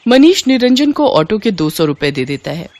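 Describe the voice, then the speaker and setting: A woman's voice: mid-range voice, very animated, moderate pace, a little mellow. A woman narrating a scripted moral story as the storyteller.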